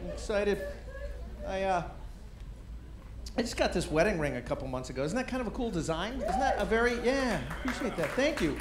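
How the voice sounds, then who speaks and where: A middle-aged man doing a stand-up comedy set on a club stage, deadpan and self-deprecating, with rambling asides. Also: high-pitched, a little fast, pauses often. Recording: roomy live club with crowd noise. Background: audience murmur and laughter.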